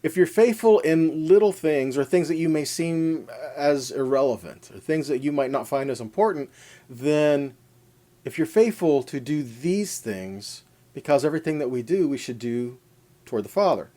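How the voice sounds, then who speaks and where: A man's voice: fairly high pitch, expressive, moderate pace, warm tone. A man teaching an informal sermon in a conversational way, addressing listeners directly and stressing points with repeated, parallel phrases. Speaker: preacher teaching a scripture lesson. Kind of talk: informal sermon with scripture readings.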